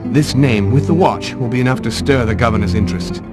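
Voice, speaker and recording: A man's voice: medium pitch, brisk, over loud music, close to an everyday voice. An actor playing a confident, courteous masked swordsman hero in an adventure game cutscene, with a wry manner. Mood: commanding.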